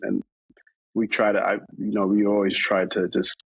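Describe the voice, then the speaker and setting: A man's voice: fairly low pitch, completely flat delivery, normal speed, creaky, slightly rough, no room sound, poor audio. A man from New York talking casually in an interview, rambling with many fillers and restarts. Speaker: interview guest.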